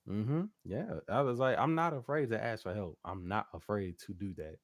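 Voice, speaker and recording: Male music artist interviewee, very low voice, dry close sound, slangy rambling casual talk. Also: animated, normal speed, no room sound. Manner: slangy, rambling, frequent "you know what I'm saying". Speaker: guest recording artist and music producer.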